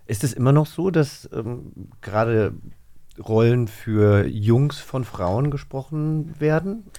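A man's voice: medium pitch, lively intonation, takes it slowly, warm tone. A man co-hosting a casual interview, asking informal questions in a slightly tentative way. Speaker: podcast co-host, interviewer.

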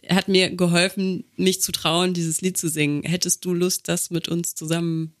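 A woman's voice: on the low side, flat delivery, moderate pace, dry recording, clean studio sound. A woman co-hosting a podcast in a casual, conversational style. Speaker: podcast co-host and singer. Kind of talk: casual chat.

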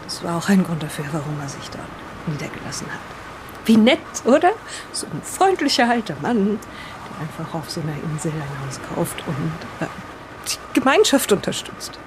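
A woman's voice: on the low side, very animated, normal speed. A woman playing a guarded, weary lighthouse keeper's wife in an improvised audio drama, speaking hesitantly. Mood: hesitant, confiding. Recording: clearly noisy background, vehicle-like ambience.

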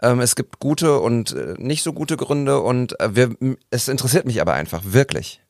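A man's voice: mid-range voice, animated, normal speed, creaky, darkish tone, dry recording. Man hosting a podcast, hesitant, lots of fillers, dry close sound. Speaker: podcast host. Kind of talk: casual podcast chat.

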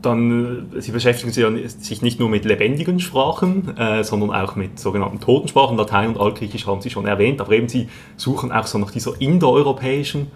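A man's voice: mid-range voice, quick pace, few pauses, slight background noise. A man hosting a science podcast, who asks an interview guest polite, formal questions.

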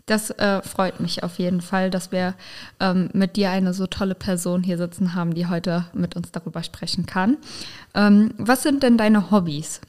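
A woman's voice: lowish voice, fairly even intonation, normal speed, a little mellow. Chatty female podcast host. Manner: chatty, many fillers. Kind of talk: casual interview hosting.

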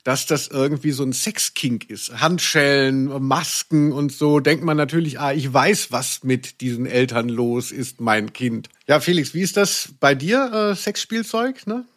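A man's voice: medium pitch, a little slow, hardly any pauses, fairly bright tone. A man hosting a podcast with casual, humorous commentary, given to ironic mock drama. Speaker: podcast host.

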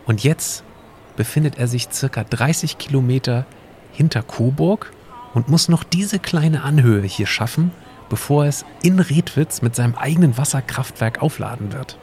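A man's voice: mid-range voice, expressive, moderate pace, darkish tone, slight background noise. A man speaking conversational, scripted audio drama dialogue as a time-travelling presenter.

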